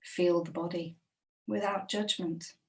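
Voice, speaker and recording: Female meditation guide, lowish voice, very slow gentle delivery with long pauses, sounding far from the mic. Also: fairly even intonation. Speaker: meditation teacher. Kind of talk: guided body scan meditation.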